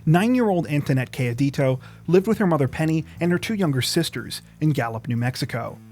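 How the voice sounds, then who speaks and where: A man reads scripted true crime narration in a measured, serious manner. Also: fairly high pitch, lively intonation, darkish tone. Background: plucked guitar music.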